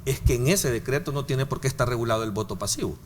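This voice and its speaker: Salvadoran man, talk show guest, mid pitch, argues in step-by-step examples, faint noise behind. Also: fast. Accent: Salvadoran Spanish. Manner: argumentative, gives worked examples. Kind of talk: political discussion.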